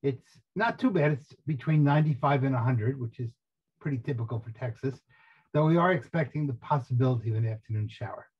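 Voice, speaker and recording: A man's voice: medium pitch, a little fast, breathy edge. An older man with a New Jersey accent, co-hosting over a video call from home and telling joking stories in casual chat. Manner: joking storyteller. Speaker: co-host. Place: home in Texas. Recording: dull lo-fi call audio.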